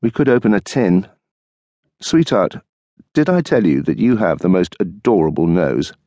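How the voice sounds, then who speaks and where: A man's voice: deep voice, animated, moderate pace, mellow, no echo, dull audio. A man voicing a playful, joking husband in light, teasing banter.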